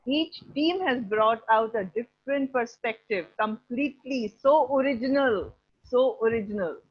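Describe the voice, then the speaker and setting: Indian-accented female teacher, effusive and repetitive, slightly high pitch, over dull online call audio. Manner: effusive, repeats phrases for emphasis. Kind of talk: spoken feedback in online class. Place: online video class.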